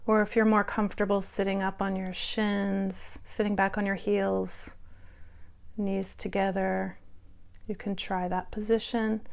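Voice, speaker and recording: Slow, creaky-voiced woman guiding yoga. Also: mid-range voice, darkish tone. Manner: soothing, unhurried cueing with long pauses. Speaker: yoga instructor. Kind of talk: guided yoga and meditation instruction.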